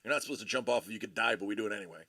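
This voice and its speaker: A man's voice: lowish voice, rapid-fire, sharp tone, slightly rough, no echo, studio quality. A man hosting a live card break stream, chatting casually and telling rambling, joking stories. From Buffalo area, USA.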